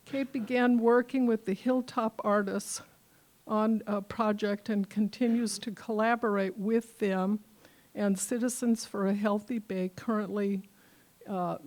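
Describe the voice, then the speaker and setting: A woman's voice: medium pitch, steady tone, a little slow, darkish tone. A woman hosting a community arts gathering, reading out a guest's biography with small slips and fillers. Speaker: host introducing a speaker. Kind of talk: reading a speaker's bio aloud. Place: community meeting room.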